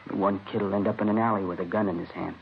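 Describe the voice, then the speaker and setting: An actor playing a resigned, brooding murderer in a 1950s radio drama, musing quietly and fatalistically. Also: male voice, low-pitched, steady tone, quick pace, vocal fry. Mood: brooding, wistful. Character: resigned, brooding male murderer giving himself up. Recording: dull, noisy old radio recording.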